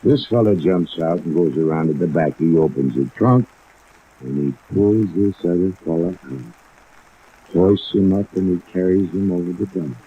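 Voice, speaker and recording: An actor playing a simple, pious dockside newspaper seller in a 1950s radio drama, with a gravelly voice and a halting, vague, solemn way of talking. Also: male voice, low voice. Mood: halting recounting. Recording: muffled phone-like old radio recording with hiss. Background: steady rain.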